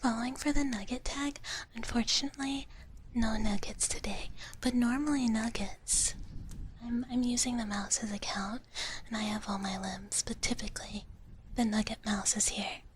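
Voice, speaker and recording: A woman whispers close to the microphone in a breathy, fairly high voice. She chats gently and playfully with her livestream viewers in ASMR style, with clear noise behind her. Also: steady tone, moderate pace. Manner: gentle, playful, speaks of herself in third person as a dragon big sister character. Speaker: livestream host.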